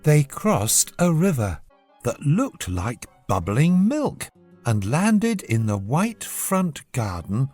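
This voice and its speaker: Slow, expressive bedtime storyteller. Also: medium pitch, faint music behind. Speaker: storyteller, narrator. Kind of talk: scripted children's bedtime story narration.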